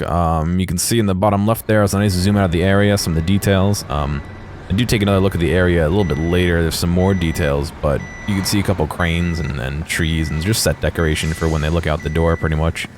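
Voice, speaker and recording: A man's voice: sits low, slight background noise. A man giving unscripted, hesitant commentary on game footage. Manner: hesitant, halting. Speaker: video creator.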